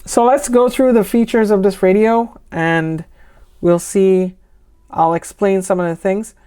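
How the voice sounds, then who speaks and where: A woman's voice: mid-range voice, fairly even intonation, a little slow, warm tone, smooth. A woman from the United States giving a casual, unscripted product review as a vlogger, conversational and explanatory, with trailing asides.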